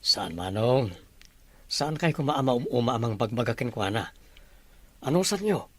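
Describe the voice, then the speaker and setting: A man's voice: medium pitch, expressive, normal speed, slightly rough, no room sound. A man playing an earnest father and younger brother in an Ilocano radio drama.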